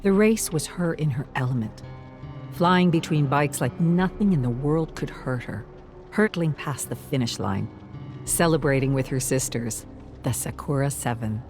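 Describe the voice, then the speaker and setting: A narrator reads a dramatic story in a medium-pitched voice. The delivery is measured and wistful, with faint music underneath. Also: darkish tone.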